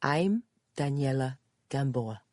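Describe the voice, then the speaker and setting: Audiobook character: Spanish-accented woman, late forties, earnest, guilt-ridden, halting English, played by a female narrator. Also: very deep voice, lively intonation, darkish tone, no echo, full character voice. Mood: polite. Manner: halting, simple broken English, emphatic repetition.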